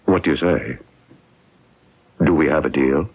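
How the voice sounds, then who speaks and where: A man's voice: rumbling low, unhurried. An actor playing a hesitant, cagey US President in a radio drama, hemming as he bargains. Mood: bargaining. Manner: hesitant, hemming official talk. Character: hesitant, cagey older male US President making a deal. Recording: muffled, narrow-band old radio broadcast.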